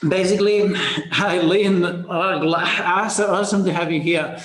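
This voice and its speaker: A fairly high-voiced Spanish-accented man hosting a live online webinar over a computer mic, his voice ringing in a roomy, echoing space. He talks in a chatty, upbeat way, greets people by name and is full of fillers and quick restarts. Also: fairly even intonation, fairly bright tone. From Spain. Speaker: webinar host.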